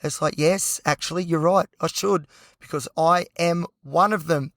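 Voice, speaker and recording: An Australian man hosting a solo podcast with a hoarse, croaky voice, talking passionately and rambling with lots of fillers. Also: on the high side, steady tone, normal speed, fairly bright tone, no room sound, clean studio sound. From Newcastle, New South Wales, Australia. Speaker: podcast host.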